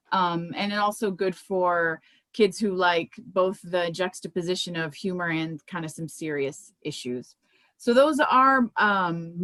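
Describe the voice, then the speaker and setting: A woman's voice: mid-range voice, a bit bright, no room sound. Conversational American woman, dry close sound. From Miami, United States. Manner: conversational, informal. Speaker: children's book author presenting her books. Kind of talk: informal book presentation.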